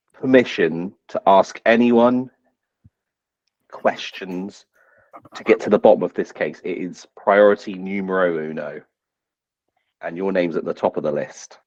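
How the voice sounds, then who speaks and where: A man's voice: low-pitched, a little slow, long pauses, darkish tone, no room sound. A man voicing a cheeky, bumbling young squire in a tabletop game, hesitant and rambling, on a slightly dull close microphone. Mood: cocky, officious.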